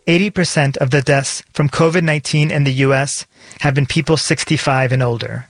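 A man's voice: fairly high pitch, steady tone, fairly quick, no echo. A man hosting a podcast, reading a scripted, personal narration. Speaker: podcast host. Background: music.

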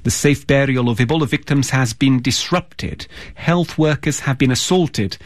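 A man reading a scripted news report in a serious, measured tone. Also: medium pitch, no echo, clean studio sound.

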